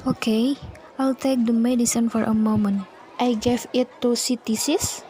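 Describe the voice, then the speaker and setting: A woman's voice: on the high side, steady tone, moderate pace, warm tone, a faint crowd, slight background noise, close to an everyday voice. A woman playing a gloating, spiteful schoolgirl bully in a student radio drama, speaking in a taunting way. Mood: scheming.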